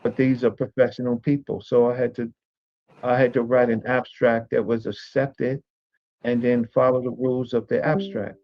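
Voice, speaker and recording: A man's voice: mid-range voice, flat delivery, normal speed. A man in a heated podcast debate, joining by video call, insulting and talking over the others while repeating himself. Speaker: podcast guest. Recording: dull lo-fi call audio.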